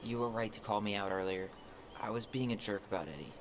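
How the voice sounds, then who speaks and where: An actor playing a guarded, dry-witted young American brother in naturalistic video game dialogue. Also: male voice, fairly low pitch, fast, close to an everyday voice. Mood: wistful, easygoing. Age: young adult. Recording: noisy ambient background.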